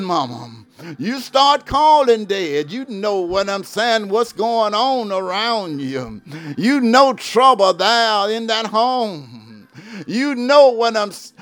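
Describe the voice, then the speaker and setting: A man delivers an impassioned gospel sermon in a high voice that rises and falls widely. He drags every phrase out slowly, shouting some and half-singing others. The sound is dry and close, with faint music underneath. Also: no echo. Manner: impassioned, shouting and half-singing phrases, repeats "what I'm saying" and "oh my God". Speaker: preacher. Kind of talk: fervent gospel sermon with prayer line.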